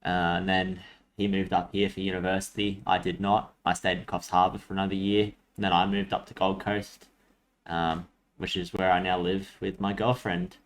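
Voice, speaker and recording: Podcast co-host: man, twenties, Australian accent, fairly low pitch, informal conversational delivery. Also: level tone, moderate pace. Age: 20s. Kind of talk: casual chat.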